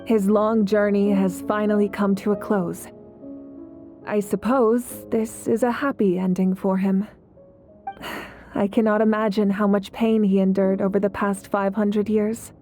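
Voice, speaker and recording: Actress as compassionate heroine, earnest, soft dark tone, medium pitch, video game dialogue. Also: female voice, little pitch movement, measured pace, soft background music, natural voice. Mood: sad, grateful. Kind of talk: dramatic video game acting.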